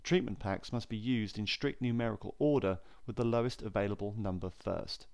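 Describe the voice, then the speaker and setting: A man narrating a scripted training film in a measured, formal, clearly enunciated way. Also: on the low side.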